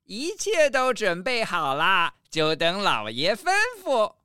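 A woman voicing a polite, deferential male butler in a children's audio drama, with soft music underneath. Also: mid-range voice, sing-song, takes it slowly, smooth, no room sound, clean studio sound. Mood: dutiful. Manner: deferential, formal.

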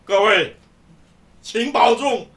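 Woman as a pompous veteran churchgoer, echoey. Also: on the high side. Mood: smug, pompous. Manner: pompous, drawn-out emphasis. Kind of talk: scripted Mandarin radio drama acting. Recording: roomy echo, distant from microphone.

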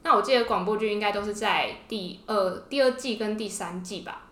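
A woman's voice: mid-range voice, fairly quick, fairly bright tone. A woman with a Taiwanese Mandarin accent co-hosting a podcast in casual, gushing fangirl chat. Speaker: podcast co-host. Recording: roomy indoor echo.

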